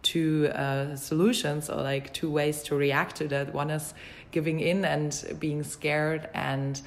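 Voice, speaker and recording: A woman interviewer with a low voice and very even intonation. She speaks conversationally and thoughtfully, with a measured, supportive manner as she responds to her guest. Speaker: interviewer, host.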